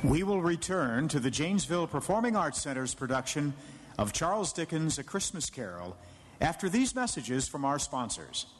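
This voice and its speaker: A man's voice: a little high, very animated, moderate pace. A man making formal broadcast announcements as a radio station announcer. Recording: echoey theater hall, faint noise.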